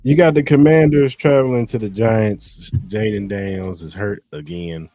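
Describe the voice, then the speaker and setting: A man's voice: lowish voice, dark tone, soft background music. A man co-hosting a podcast, laying out game picks in short, punchy lines. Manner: brisk, punchy game-by-game predictions. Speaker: podcast co-host. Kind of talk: casual sports talk.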